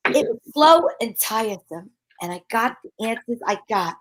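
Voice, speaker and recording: Woman, high voice, wisecracking sleuth, old-time radio play, brisk first-person narration. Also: lively intonation, rough recording. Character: wisecracking female amateur sleuth.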